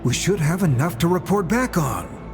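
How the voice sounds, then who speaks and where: A jittery bandit henchman played by a man with a fairly high voice that swings widely. He is anxious, bumbling and stammering, in video game style over music. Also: lively intonation, normal speed, lightly acted. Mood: uneasy. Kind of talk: video game cutscene acting.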